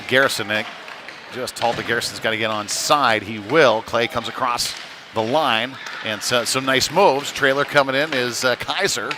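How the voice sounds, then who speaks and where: Clear rink noise surrounds a man calling a hockey game live. His voice sits at an average pitch. He commentates in a loose, conversational style, with a casual and halting delivery. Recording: noisy rink ambience, live stream.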